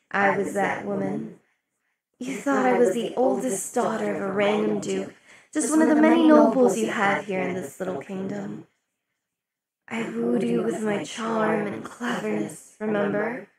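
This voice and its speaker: Woman playing a possessive demon queen: unhurried, velvety, slipping into whispers, over a loud party crowd. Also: medium pitch. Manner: slow, velvety, dips into whispers. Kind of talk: ASMR roleplay. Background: crowd chatter of a party.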